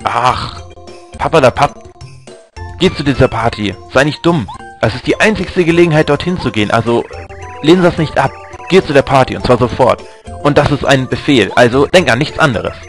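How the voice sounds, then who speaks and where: A man voicing an excitable old male turtle explorer from a video game, blustering and flustered, with a raised voice. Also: medium pitch, normal speed, soft background music, natural voice. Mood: bossy.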